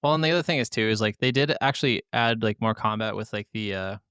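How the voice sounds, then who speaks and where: A man's voice: fairly low pitch, fast talker, a bit bright, clear, resonant tone, no echo, studio quality. A man speaking as a podcast co-host, chatting casually and colloquially about video games, swearing now and then.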